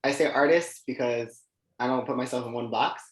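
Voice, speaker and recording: A man's voice: mid-range voice, normal speed. Podcast guest, male, late teens, informal and chatty delivery, recorded with noticeable room echo. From United States. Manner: informal, conversational, heavy use of "like". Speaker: podcast guest, dancer and artist. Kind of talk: casual interview chat. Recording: echoey, roomy sound.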